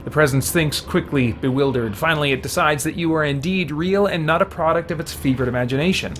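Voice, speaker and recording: A man's voice: on the high side, brisk, a bit bright. Man streaming a game, background noise behind him. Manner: casual, thinking aloud. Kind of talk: live stream chat and reading game text aloud.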